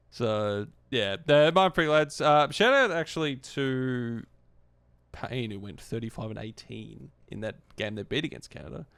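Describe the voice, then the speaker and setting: A man's voice: mid-range voice, animated, measured pace, vocal fry, a bit bright, clear, resonant tone, no echo. A young Australian man co-hosting a podcast, chatting and joking in a matey, casual way. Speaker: podcast co-host. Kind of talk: casual chat.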